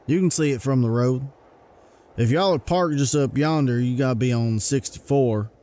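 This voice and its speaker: A helpful Southern farmhand voiced by a man in a comic-book drama. He talks in a halting, folksy country manner at an ordinary male pitch. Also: warm tone, lightly acted. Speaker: voice actor in a motion comic. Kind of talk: dramatized motion comic dialogue.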